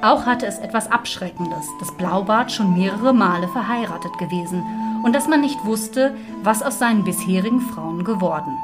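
A woman narrates a scripted fairy tale in a medium-pitched voice. Her phrasing is that of an expressive storyteller. Music is clearly heard behind her. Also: normal speed.

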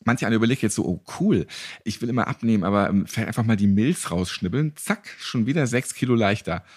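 Husky low-voiced man, jokey interviewer. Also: lively intonation, fast, a little mellow. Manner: jokey, colloquial reactions with wordplay. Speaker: interviewer, podcast host. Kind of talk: casual interview chat.

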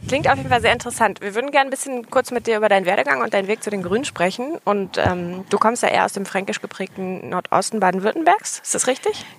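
A woman's voice: medium pitch, lively intonation, fast, hardly any pauses, slight background noise. A woman interviewing a guest, asking friendly questions in a casual way.

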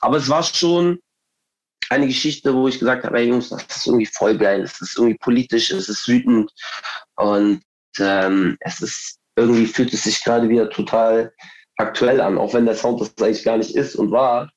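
A man's voice: medium pitch, normal speed. A man talking as a guest musician in a casual, slangy chat, with slightly dull sound. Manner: colloquial, slangy.

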